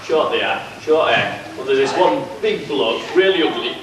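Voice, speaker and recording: A man's voice: mid-range voice, animated, moderate pace, a crowd in the background, close to an everyday voice. A man playing a bumbling, suspicious police officer in an amateur pantomime, questioning people with hesitant uhs. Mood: officious. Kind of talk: amateur pantomime stage acting. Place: church hall stage, recorded from afar. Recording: distant echoey hall, audience noise, old camcorder.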